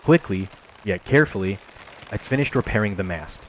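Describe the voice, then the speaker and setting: A man narrating a first-person adventure story in a measured, calm storytelling manner. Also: on the low side, very noisy. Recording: faint music behind voice.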